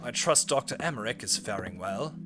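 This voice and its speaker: A man's voice: mid-range voice, lively intonation, fairly quick, music in the background, lightly acted. A man voicing a cold, haughty female scientist in a comedic game parody, speaking in an articulate, condescending, clinical way.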